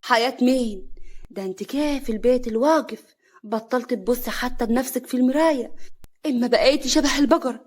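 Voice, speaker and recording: A bullying young husband from a village tale, acted by a female storyteller, speaks with an Upper Egyptian accent. The delivery is gruff and threatening, full of cruel contempt. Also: a little high, brisk, darkish tone, natural voice. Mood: contemptuous.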